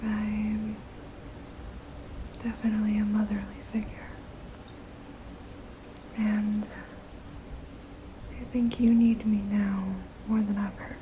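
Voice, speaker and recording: A woman voicing a gently protective, motherly vampire in a soft, breathy ASMR whisper, casual and soothing. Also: medium pitch, flat delivery, background noise, natural voice. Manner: hesitant, casual, soothing, unhurried.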